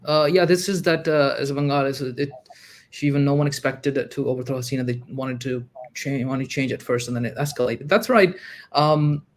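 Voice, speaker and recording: A man joining a livestream as a guest, arguing his points quickly and emphatically in casual discussion. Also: medium pitch.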